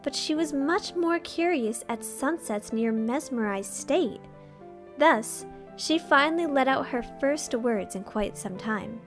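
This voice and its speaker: A woman's voice: high-pitched, lively intonation, takes it slowly, faint music behind. A woman reading a fan fiction story aloud as narrator, in a scripted storytelling style.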